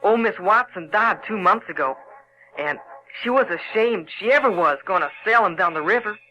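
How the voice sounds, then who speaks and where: A man playing a bold, excitable Southern boy in an audio drama, eager and insistent. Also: high-pitched, moderate pace, highs cut off, slight background noise. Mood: indignant. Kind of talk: audio theater acting.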